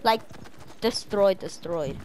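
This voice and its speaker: A female player chatting in improvised game roleplay, excitable and bossy, on a home gaming mic. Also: mid-range voice, slow, slight background noise, low-quality recording.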